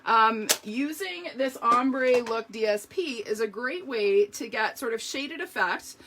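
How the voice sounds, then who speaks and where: A woman with a slightly higher, bright voice, a little hoarse, walks her viewers through a card-making project. She talks in an easy, chatty way, explaining each step as she goes. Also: animated, measured pace, slight background noise. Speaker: craft demonstrator. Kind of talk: live card-making demonstration.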